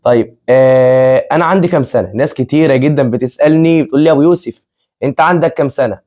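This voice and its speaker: Fast-talking young Egyptian man. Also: mid-range voice, darkish tone. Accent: Egyptian Arabic. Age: 20s. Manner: chatty, repeats himself, laughs often. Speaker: vlogger, channel co-host. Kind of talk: casual chat with viewers.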